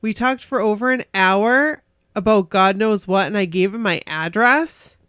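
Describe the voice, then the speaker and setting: A woman's voice: medium pitch, measured pace, clean tone. A woman co-hosting a podcast, casually chatting and reading stories aloud in a giggly, gushing way. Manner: giggly, gushing, reacts excitedly. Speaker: podcast co-host.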